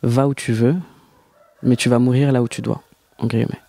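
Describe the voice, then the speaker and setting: A man in his twenties from Réunion, a podcast guest speaking casually and hesitantly with many pauses. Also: mid-range voice, little pitch movement, gravelly, dark tone, dry recording. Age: 20s. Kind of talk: casual conversation.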